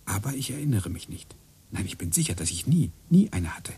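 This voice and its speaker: A brooding computer engineer in a radio play. He mutters to himself in a gravelly voice with a lively, swinging pitch. He grows more and more agitated as he talks. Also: a little fast, exaggerated voice.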